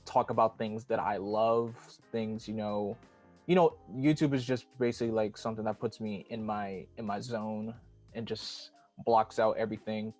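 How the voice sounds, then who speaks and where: A man's voice: fairly low pitch, steady tone, moderate pace, darkish tone, soft background music. Rambling young man on a video call. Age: 20s. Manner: rambling, lots of "you know". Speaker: guest. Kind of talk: casual self-introduction.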